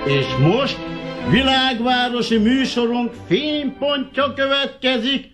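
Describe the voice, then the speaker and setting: Radio play bit part: grand stage master of ceremonies, high-voiced, over loud music. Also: male voice. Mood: grandiose. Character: grandiose variety show announcer. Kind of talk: theatrical stage announcement. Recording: loud music behind announcement.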